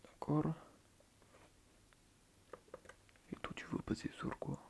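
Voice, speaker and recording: A man playing a soft-spoken, quirky, mock-strict schoolteacher in an ASMR roleplay, hushed and breathy, muttering hesitantly and repeating himself. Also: fairly quick, lightly acted. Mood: quiet, busy. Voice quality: hushed, half-whispered, breathy. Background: computer keyboard typing.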